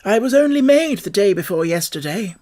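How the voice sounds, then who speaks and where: A man's voice: high-pitched, animated, fairly quick, mellow, pitched above the performer's own voice. A man voicing an earnest, simple-hearted scarecrow made of straw, telling things in a storybook reading. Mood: wistful.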